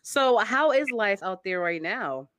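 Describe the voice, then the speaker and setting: A woman's voice: medium pitch, expressive, unhurried, a bit bright. A woman in her thirties from Connecticut, hosting a casual interview show in a chatty, upbeat way and laughing often. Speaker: podcast host.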